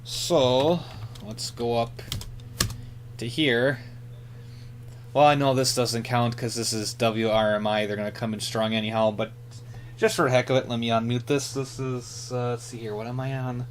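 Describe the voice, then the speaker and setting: A man talks through a hobby radio demonstration in a casual, halting way. He thinks aloud at a middling pitch, and a faint hum sits behind his voice. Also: flat delivery. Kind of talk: casual hands-on equipment demonstration.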